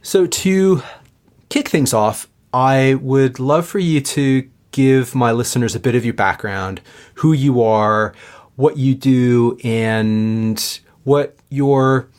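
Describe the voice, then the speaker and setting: A man's voice: mid-range voice, a little mellow. A man hosting a podcast, reading an emphatic, upbeat introduction and then welcoming his guest. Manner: upbeat, emphatic, drawn-out words for stress. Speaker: podcast host. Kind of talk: scripted podcast intro and interview.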